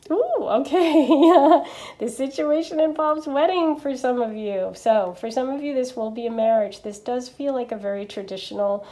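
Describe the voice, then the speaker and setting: A woman with a stuffy nose from allergies, chatting gently through a tarot reading with lots of fillers and little checks. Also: sits high, normal speed. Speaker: tarot reader. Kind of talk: casual pick-a-card tarot reading.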